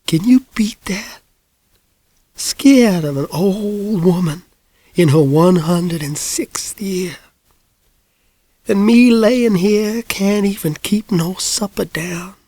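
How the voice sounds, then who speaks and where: A man voicing a frail, folksy woman of a hundred and six, with a quavering, breathy Southern drawl and a sly warmth. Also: high-pitched, no room sound, voice pushed up. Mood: folksy, knowing. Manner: slow, drawling country speech.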